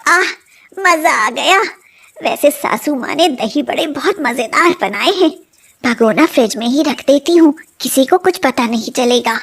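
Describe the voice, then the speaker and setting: A very high, sweetly wheedling young daughter-in-law acted by a woman storyteller. She is sly and food-loving, and puts on wide-eyed innocence in an unhurried storybook delivery. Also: lively intonation, a bit bright, heavily acted. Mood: greedy, scheming. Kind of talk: animated moral story acting.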